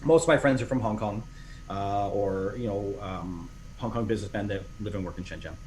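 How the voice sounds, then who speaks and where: A man's voice: lowish voice, fairly quick, a little mellow, a little hiss or hum, rough recording. A middle-aged man, a podcast guest, talking casually and rambling through stories with many restarts and fillers. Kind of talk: casual interview chat.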